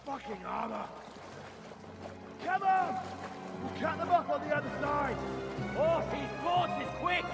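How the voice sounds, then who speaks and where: An actor playing an aggressive, furious medieval soldier who shouts coarse commands in a video game cutscene. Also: male voice, extremely high pitch, takes it slowly, vocal fry, pauses often, heavily acted. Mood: furious, commanding. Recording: loud music over the voice.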